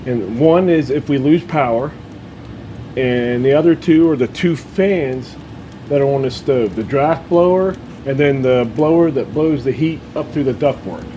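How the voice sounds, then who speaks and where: A man's voice: medium pitch, a little slow, dark tone, a little hiss or hum. A man who is losing his voice, chatting casually about his farm and woodstove, laughing between remarks. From Ohio. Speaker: homestead vlogger. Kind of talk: casual vlog walkthrough. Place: farm outdoors and basement.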